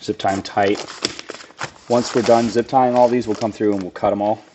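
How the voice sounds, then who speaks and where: A man with a raspy voice from a cold, casually explaining a do-it-yourself build while he works on it. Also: fairly low pitch, moderate pace, background noise. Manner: plain-spoken, relaxed, thinks aloud with restarts. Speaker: lawn care business owner demonstrating a DIY build. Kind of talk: casual how-to narration while working.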